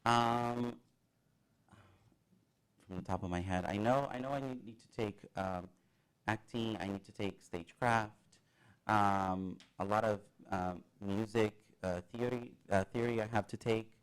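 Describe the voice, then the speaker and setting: Young man, native Portuguese speaker with a Brazilian accent, very deep voice, loose hesitant interview chat. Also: fairly even intonation, smooth. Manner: rambling, halting with many fillers and laughs. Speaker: student guest.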